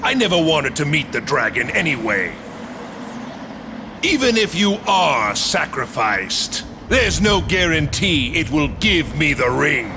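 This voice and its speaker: Gravelly, scheming video game general. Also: male voice, on the high side, big pitch swings, unhurried, background noise. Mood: coldly calculating. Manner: smug, menacing, theatrical. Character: scheming, cruel male general plotting against his king. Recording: music behind the voice.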